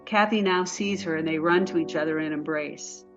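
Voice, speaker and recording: A woman's voice: low voice, moderate pace, muffled recording, faint music behind. A woman reading stage directions as the narrator of a play, in scripted narration.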